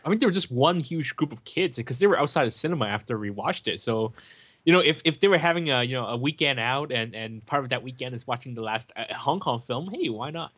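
Bright-voiced man chatting about film. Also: mid-range voice, lively intonation, quick pace, slightly rough. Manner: chatty, many fillers and restarts. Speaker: film podcast co-host. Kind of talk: casual film chat.

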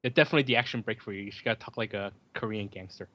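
A man giving casual, joking running commentary on a film as a host, with sardonic asides and halting, repeated words. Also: fairly low pitch, fairly bright tone, no echo.

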